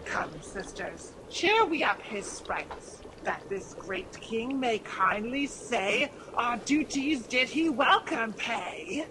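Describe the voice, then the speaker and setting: Eerie cackling witch, a woman acting it, high and drawn out, hissy remote mic. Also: lively intonation, slow, hardly any pauses. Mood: mocking. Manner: chanting, eerie, theatrical. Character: cackling witch, one of the weird sisters. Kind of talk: amateur radio drama.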